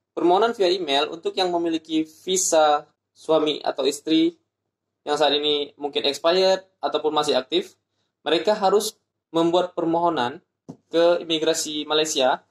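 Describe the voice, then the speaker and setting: Indonesian man explaining a procedure, slightly high. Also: reverberant. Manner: explains step by step, spells out addresses slowly. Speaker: vlogger. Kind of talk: informational vlog explaining a procedure.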